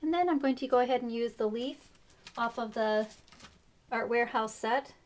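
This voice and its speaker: A woman hosting a casual craft tutorial, explaining her steps in a chatty way with fillers, her voice a little rough this morning. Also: on the high side, normal speed. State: slightly rough voice. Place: craft table indoors.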